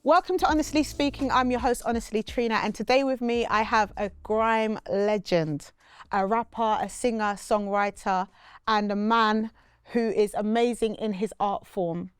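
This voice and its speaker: A woman's voice: on the high side, steady tone, normal speed, smooth, no echo, soft background music. A woman with a London accent hosting a podcast, asking questions in a casual, chatty interview style.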